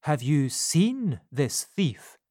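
A fussy, excitable doctor from a storybook, exclaiming over everything, as a woman reads him. The voice is low for a woman and swings expressively. A close, dry sound. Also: drawn-out words, no echo, exaggerated voice. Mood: fussing. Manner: exclaiming, fussing.

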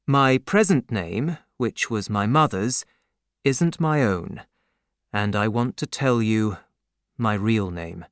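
Man reading as a sincere young suitor, slow careful delivery, dry close mic. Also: lowish voice, expressive, smooth, no room sound. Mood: earnest. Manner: earnest, measured. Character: earnest young gentleman suitor, sincere and humble.

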